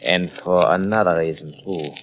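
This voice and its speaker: An actor playing a megalomaniac, vengeful scientist in a grandiose, menacing style of old radio horror. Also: male voice, sits low, fairly even intonation, moderate pace. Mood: vengeful, gloating. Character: megalomaniac vengeful male scientist revived in a stolen body. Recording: old radio recording, faint noise.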